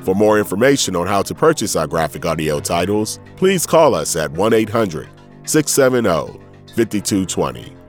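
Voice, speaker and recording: Male announcer, low voice with lively inflection, scripted promo read over music. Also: normal speed.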